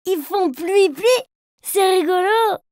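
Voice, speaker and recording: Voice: very high-pitched, takes it slowly, darkish tone, no echo, studio quality. A performer voicing an eager, playful little boy bear cub in a children's cartoon, with a childlike singsong manner. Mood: curious. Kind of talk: children's cartoon dialogue and rhymes. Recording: music behind the voice.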